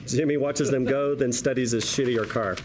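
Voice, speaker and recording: A man's voice: mid-range voice, steady tone, moderate pace, a little hiss or hum, low-quality recording. A man reading screenplay stage directions as narrator at a drama table read.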